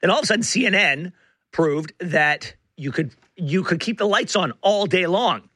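A man hosting talk radio with a slightly hoarse, rough-edged voice, halting and restarting as he makes emphatic points at a close studio mic. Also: sits high, lively intonation, bright, forceful tone, no room sound. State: hoarse, rough voice from being unwell. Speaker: radio talk show host. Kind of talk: talk radio monologue and banter. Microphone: close studio broadcast mic.

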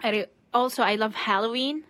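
Bright-sounding woman from syria, radio co-host, gushes happily. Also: fairly high pitch, a little fast. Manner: enthusiastic, gushing. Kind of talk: casual talk radio.

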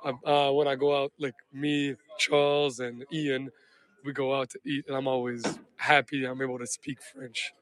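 Guy whose first language is arabic, halting answers, mid pitch. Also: flat delivery, fairly bright tone, poor audio. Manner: halting, searching for words. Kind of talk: casual interview answers.

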